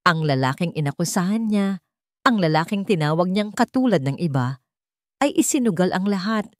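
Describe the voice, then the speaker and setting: A woman's voice: fairly low pitch, a little mellow, dry recording, clean studio sound. A woman with a Filipino accent reading a romance story as a scripted, dramatic narration.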